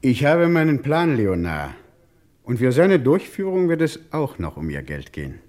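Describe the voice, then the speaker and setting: A man's voice: mid-range voice, wildly swooping pitch, moderate pace, a little mellow. A man playing a resolute, impatient French police inspector narrating his case in an old German radio crime drama, emphatic and insistent. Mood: determined. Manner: emphatic, insistent, clipped orders. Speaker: detective narrator.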